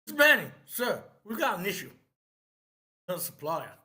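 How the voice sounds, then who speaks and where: A woman's voice: mid-range voice, big pitch swings, fairly quick, pushed and bright. A woman playing an anxious street drug dealer underling at a live script table read. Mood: worried, deferential.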